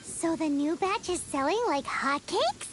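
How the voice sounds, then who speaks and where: A woman voicing a tiny, excitable female fairy companion in a game cutscene, exclaiming and chattering eagerly. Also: extremely high pitch, a little slow, slight background noise. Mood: curious. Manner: excitable, exclaiming, refers to herself by name. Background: game music.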